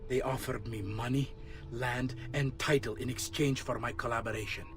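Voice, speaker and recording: An actor playing a remorseful traitor in a video game, confessing in a letter to his love with grave, deliberate delivery. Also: medium pitch, steady tone, lightly acted. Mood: sombre, justifying. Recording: clear background ambient noise.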